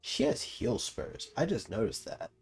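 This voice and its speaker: Young male gaming streamer, fairly low voice: casual, slangy, sweary, amused reactions over faint game music. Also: sing-song, unhurried, darkish tone. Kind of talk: casual playthrough commentary.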